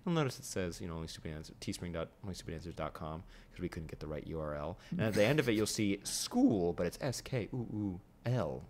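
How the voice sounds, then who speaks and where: A man's voice: deep voice, animated, fast talker, hardly any pauses, darkish tone, a little hiss or hum. A young man co-hosting a podcast in casual comedic banter, playfully repeating words and phrases for emphasis. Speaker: podcast co-host.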